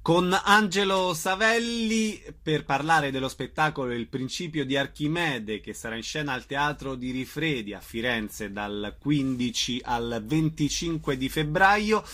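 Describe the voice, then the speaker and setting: A man hosts a radio interview in a somewhat high voice. He speaks as a presenter putting questions to guests. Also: measured pace, hardly any pauses, bright, forceful tone. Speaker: radio host interviewing theatre artists.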